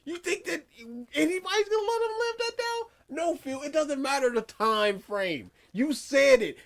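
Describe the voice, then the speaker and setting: A man co-hosting a casual commentary podcast, talking sarcastically and slipping into quick mimicry of the person he is mocking. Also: very high voice. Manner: animated, sarcastic, mimics others mid-sentence. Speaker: podcast co-host.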